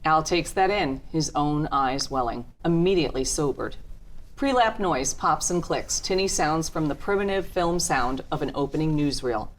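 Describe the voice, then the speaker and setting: A woman's voice: deep voice, lively intonation, moderate pace. A woman reading a script's stage directions as narration at a table read.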